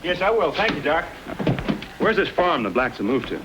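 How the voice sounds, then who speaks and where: A man's voice: fairly high pitch, very animated, normal speed. An actor playing a bold, courteous young frontiersman with folksy phrasing in an old-time radio Western. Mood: determined, easygoing. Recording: noisy old radio drama recording.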